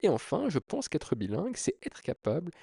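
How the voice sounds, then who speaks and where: A man's voice: fairly high pitch, huge pitch swings, normal speed, creaky, warm tone, husky, no echo, clean studio sound. A man speaking as a video creator, explaining informally to viewers in an earnest, persuasive way with emphatic phrasing. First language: French. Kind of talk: informal explanatory talk to camera.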